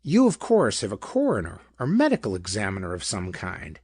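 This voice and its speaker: A man's voice: fairly low pitch, huge pitch swings, a little fast, darkish tone, husky, no echo, studio quality, exaggerated voice. A man voicing a pedantic, eager scientific sleuth in a pulp detective story, with formal, precise diction. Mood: inquiring.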